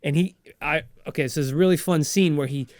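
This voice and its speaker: High-pitched rambling man, stammering excitedly. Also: fast talker, dry recording. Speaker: podcast co-host retelling a film. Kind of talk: improvised comedic recap, casual.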